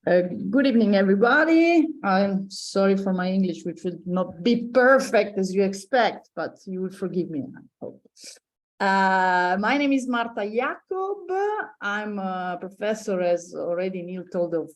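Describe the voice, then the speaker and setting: Woman giving a talk, lots of uhs and restarts, middle-aged, mid-pitched. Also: animated, measured pace, rough recording. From born in Poland, raised in Italy. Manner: hesitant, many fillers and restarts. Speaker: professor and consultant presenting. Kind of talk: online conference presentation.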